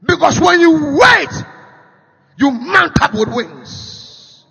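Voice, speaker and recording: Shouting Ghanaian preacher, high and gravelly. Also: male voice, animated. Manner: shouting, sing-song, with rapid bursts and repeated rising phrases. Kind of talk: sermon. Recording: dull lo-fi sound with long hall reverb.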